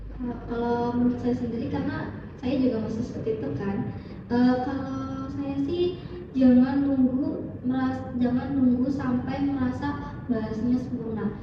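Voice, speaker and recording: A woman's voice: sits high, fairly even intonation, normal speed. A young woman student with a Javanese accent, answering interview questions hesitantly with many fillers and restarts. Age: young adult. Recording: distant echoey dull recording with noise.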